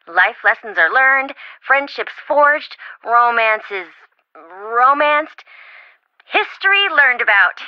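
A woman's voice: high voice, no room sound, highs cut off. A woman playing a chatty, flighty, well-meaning young best friend in a video game, rambling and blurting things out over-eagerly.

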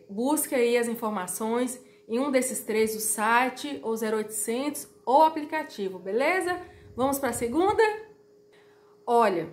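A woman in her thirties from Brazil, a little hoarse, chatting straight to her viewers in an informal explainer vlog. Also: on the high side, echoey room. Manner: chatty, direct to viewers, repeats warnings. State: slightly hoarse. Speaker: YouTuber. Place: small room at home, indoors.

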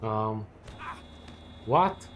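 A man in his twenties chatting casually while playing a game, relaxed and rambling with lots of fillers and restarts. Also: fairly low pitch, drawn-out words, slight background noise. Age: 20s. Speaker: student gaming while chatting. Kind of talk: casual chat over gameplay.

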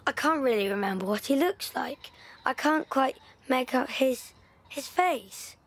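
A woman's voice: high voice, animated, unhurried, slight background noise. An actress playing a thoughtful young girl evacuated from London, telling her story in a reflective way. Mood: wistful. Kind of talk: audio drama acting.

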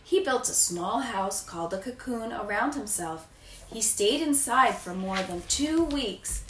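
A woman's voice: a little high, expressive, a little slow, a bit bright. American woman reading aloud, distant and echoey. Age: 30s. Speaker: teacher. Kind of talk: children's picture book read-aloud. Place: living room, indoors. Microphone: camera a few feet away. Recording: distant echoey room, faint noise.

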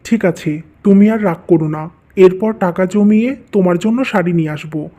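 A performer voicing a gentle, dutiful young man, a poor husband and caring brother, in a cartoon story. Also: sits high, normal speed. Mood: sorrowful. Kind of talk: Bengali cartoon story voice acting.

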